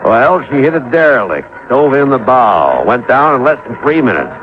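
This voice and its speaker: An actor playing a cocky, dismissive high-rolling gambler with a breezy, slangy delivery in old-time radio style. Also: male voice, sing-song, moderate pace, lightly acted. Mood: cocky, taunting. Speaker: actor in a radio detective drama. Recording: tinny, noisy old radio recording.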